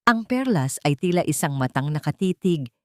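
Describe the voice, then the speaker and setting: A woman reading a dramatic scripted story as narrator, with measured, suspenseful delivery. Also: low voice, very animated, no room sound, clean studio sound.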